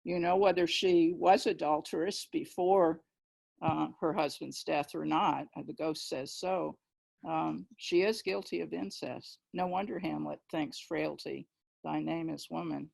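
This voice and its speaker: Gravelly-voiced woman discussing literature. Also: low-pitched, steady tone, a little slow, a little mellow, low-quality recording. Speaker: discussion participant, questioner. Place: remote video call.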